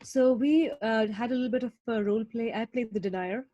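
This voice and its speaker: A woman with an Indian accent recounting a role play on a video call, relaxed and easygoing. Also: on the high side, fairly quick, no room sound, dull audio. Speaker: workshop participant. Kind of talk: casual recap on a video call.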